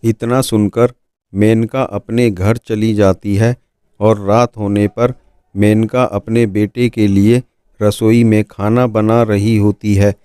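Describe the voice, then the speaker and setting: Guy narrating a spooky cartoon folk tale, lowish and really unhurried, not much melody, music behind. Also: mellow, clean tone. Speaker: storyteller.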